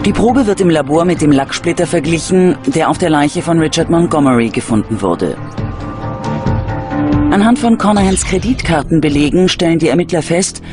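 Man, documentary narrator, scripted crime storytelling, slightly high voice, animated intonation, music underneath. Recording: music behind the voice. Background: background music.

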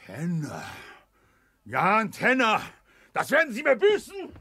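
An actor playing a blustering, gullible army general in a children's audio drama, raspy and stammering. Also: male voice, high-pitched, huge pitch swings, takes it slowly, pushed and bright, no echo, clean studio sound, full character voice. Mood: outraged. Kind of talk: 1980s German children's audio drama acting.

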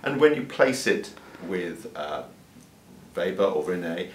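An older man, a doctor, calmly explaining and demonstrating a hearing exam in a clinic room, with small restarts. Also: sits low, takes it slowly. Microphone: far camera mic. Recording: roomy, distant sound with faint room hiss.

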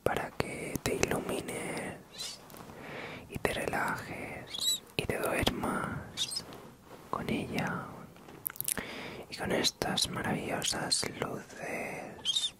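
A man with an accent from Spain whispering an ASMR guided meditation in a soft, soothing, drawn-out way. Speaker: ASMR channel host.

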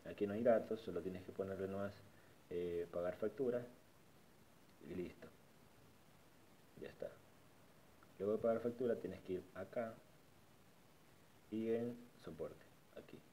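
A man's voice: sits low, measured pace, long pauses, dark tone, a little hiss or hum, rough recording. A man casually narrating a screen-recorded walkthrough of a website, often repeating and restarting his phrases. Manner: repetitive, restarts phrases while pointing things out. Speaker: tutorial vlogger.

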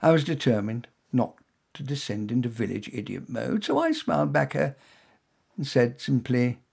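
Gravelly, expressive male storyteller. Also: mid-range voice, normal speed. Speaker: author reading his story. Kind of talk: first-person story narration.

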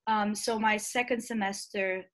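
A woman's voice: medium pitch, fairly even intonation, unhurried, a bit bright. Young woman from Romania, hesitant. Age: young adult. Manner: thoughtful, many ums and restarts. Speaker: interview guest, student leader. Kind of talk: casual interview over video call. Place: bright room at home. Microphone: earbuds on video call.

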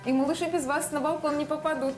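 A woman's voice: high-pitched, steady tone, fast. A woman, presenting a home makeover show and chatting with guests. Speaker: TV host. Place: indoors in a furnished flat room and hallway. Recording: roomy indoor sound with background music.

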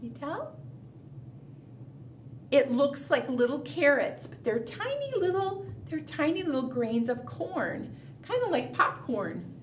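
A middle-aged woman leading a preschool storytime, animated and sing-song, coaxing small children with questions. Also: sits high, takes it slowly. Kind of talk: storytime read-aloud and chat addressed to small children. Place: small indoor room, seated at a table. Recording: roomy, distant mic, faint room noise.

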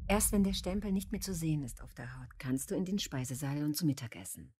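A woman's voice: deep voice, animated, quick pace, mellow, slight background noise. A woman acting a stern, guilt-tripping female caretaker in a radio play. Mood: stern, cold. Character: stern, reproachful female caretaker, Frau Bernhard.

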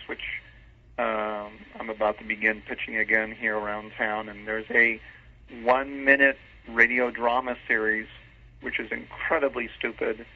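Male interview guest, medium pitch, casual, self-deprecating, hesitant delivery, lo-fi telephone audio. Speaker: interview guest, radio drama producer. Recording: dull phone-line sound.

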